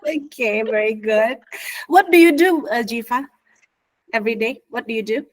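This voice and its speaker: A woman's voice: a little high, normal speed, rough recording. A woman with an Indonesian accent teaching an English class online, leading a role-play and mixing Indonesian with English. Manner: lively, switches between Indonesian and English.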